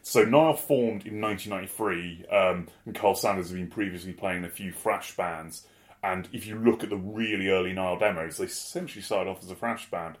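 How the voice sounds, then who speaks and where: A man's voice: low-pitched, brisk. A man co-hosting a podcast, talking casually and enthusiastically about albums, with many fillers and restarts. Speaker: podcast co-host. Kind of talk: casual music discussion.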